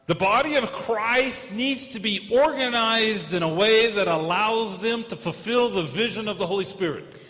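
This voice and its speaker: An American man preaches in a church hall, speaking in short, earnest phrases and pausing between them. His voice sits fairly high for a man, and the long reverb of the hall trails after each line. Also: sharp tone. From United States. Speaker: guest preacher. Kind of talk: sermon in short phrases for an interpreter.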